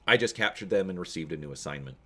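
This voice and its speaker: A man's voice: low-pitched, expressive, fast talker, pushed and bright, heavily acted. A man voicing an earnest, guarded young undercover hero in a dramatic story reading. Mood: firm, official.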